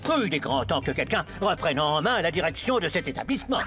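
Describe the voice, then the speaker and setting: Officious cartoon schemer at a school: high swooping voice, pompous, music behind. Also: male voice, sing-song, fast. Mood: indignant. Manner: pompous, fussy. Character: officious, scheming, indignant male school staff member. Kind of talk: French cartoon dubbing.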